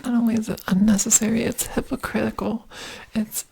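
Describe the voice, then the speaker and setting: A woman whispering in an earnest ASMR talk, breathy, with giggly asides. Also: a little high, little pitch movement, fairly quick, dark tone, slight background noise, rough recording. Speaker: ASMR creator.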